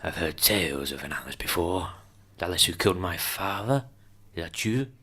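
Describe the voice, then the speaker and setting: Man playing a deep, forceful, menacing monster. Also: expressive, breathy edge, exaggerated voice. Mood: suspicious, accusing. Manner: slow, interrogating, threatening. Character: menacing young Jabberwocky monster, vengeful and threatening. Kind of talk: amateur fantasy radio drama acting.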